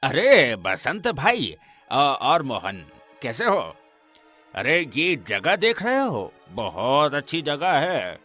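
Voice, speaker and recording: A man's voice: medium pitch, huge pitch swings, slow pace, sharp tone, faint music behind, full character voice. A man playing a greedy, condescending village priest and moneylender in a cartoon, with a pompous manner. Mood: falsely friendly. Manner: pompous, condescending, tags questions onto sentences. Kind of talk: acted Hindi cartoon dialogue.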